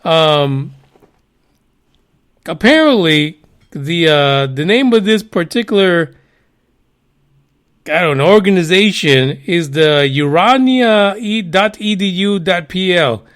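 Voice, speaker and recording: A man with a high voice hosts a loose news podcast. He swears freely, cracks himself up and wanders off into rambling asides while reading articles aloud. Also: lively intonation, takes it slowly, long pauses, fairly bright tone, clean tone. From United States. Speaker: podcast host. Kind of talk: casual news commentary.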